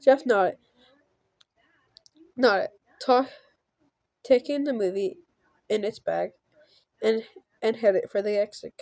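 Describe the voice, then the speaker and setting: A giggly woman reading a visual novel aloud with casual commentary, halting and stumbling over words. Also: mid-range voice, gravelly, a little mellow, no room sound. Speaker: gaming channel host.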